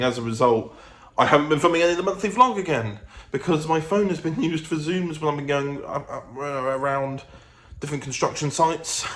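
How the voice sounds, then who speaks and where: A man chatting casually in a vlog, rambling and hesitant as he goes. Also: medium pitch. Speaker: vlogger. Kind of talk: casual chat to camera. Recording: clear background noise.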